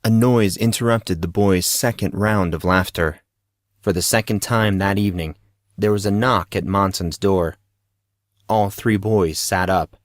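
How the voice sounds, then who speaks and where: A man's voice: low voice, unhurried, no echo, clean studio sound. A man reading scripted third-person story narration for an audio drama.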